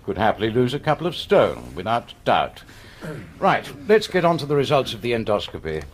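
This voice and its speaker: An actor playing a brisk, sardonic, superior British surgeon in a radio drama, with a dry lecturing manner. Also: male voice, medium pitch, wildly swooping pitch, a little hiss or hum. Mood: brisk, sarcastic.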